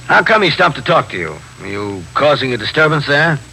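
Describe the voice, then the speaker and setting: Crisp, authoritative police captain. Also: male voice, mid-range voice, sing-song, a little fast, sharp tone. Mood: probing, firm. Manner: clipped, formal, matter-of-fact questioning. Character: businesslike middle-aged male police captain, measured and authoritative. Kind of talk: 1950s radio drama narration and dialogue. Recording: noisy 1950s radio recording.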